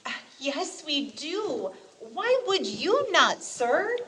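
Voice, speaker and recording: A woman's voice: high-pitched, sing-song, slow pace, sharp tone, exaggerated voice. A woman playing a cheerful, polite charity caroler in a radio play, heard from a distance. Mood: timid, puzzled. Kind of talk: theatrical radio play acting.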